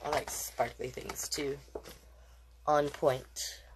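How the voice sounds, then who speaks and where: A woman's voice: sits low, fairly even intonation, slow pace, a little hiss or hum, poor audio. A woman hosting a children's storytime, reading picture books aloud with playful, encouraging asides to young listeners. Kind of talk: picture-book read-aloud with chatty asides.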